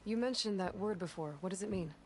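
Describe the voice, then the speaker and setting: An actress playing a self-possessed young traveler in a video game, plain-spoken and probing. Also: female voice, medium pitch, fast talker, lightly acted. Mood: curious. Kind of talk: video game dialogue acting.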